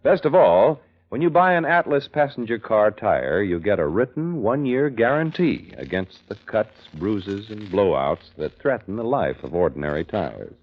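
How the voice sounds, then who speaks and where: A man's voice: lowish voice, big pitch swings, moderate pace. An American man delivering scripted announcements in a 1940s radio style. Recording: dull vintage radio recording. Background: music.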